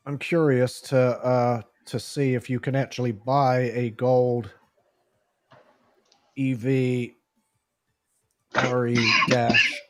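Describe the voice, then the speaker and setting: A man's voice: medium pitch, little pitch movement, takes it slowly, pauses often, a little hiss or hum. A man hosting a live talk show, speaking knowledgeably and often repeating his words as he goes. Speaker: show host. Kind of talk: casual chat on a live show.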